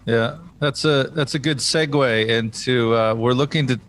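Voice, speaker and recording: A man's voice: medium pitch, normal speed, fairly bright tone. A man hosting a podcast in a casual, conversational interview style. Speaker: podcast host.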